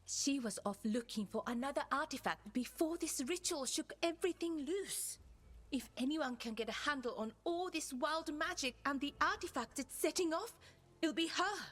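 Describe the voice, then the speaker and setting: A woman's voice: high-pitched, lively intonation, normal speed, fairly bright tone. A woman playing a composed, earnest elven scout leader in a video game, serious and authoritative. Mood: earnest, urgent.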